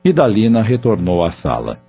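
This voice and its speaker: A man narrating an audio novel in a measured, somber storytelling voice. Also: lowish voice, huge pitch swings. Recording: dull lo-fi audio with faint music.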